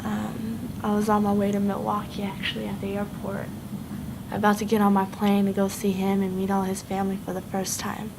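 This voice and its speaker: Actress as a frank woman in her twenties, flat delivery, noisy sound. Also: medium pitch, a little fast, creaky, close to an everyday voice. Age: 20s. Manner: frank, even-toned. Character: tough 25-year-old woman with a hard past. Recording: noisy indie film audio.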